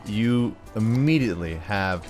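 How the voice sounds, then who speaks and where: A man's voice: fairly low pitch, animated, a little slow, darkish tone. An American man giving casual gameplay commentary, playful and rambling as he thinks aloud. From United States. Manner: playful, often slips into put-on drawls. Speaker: gaming video host. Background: background music.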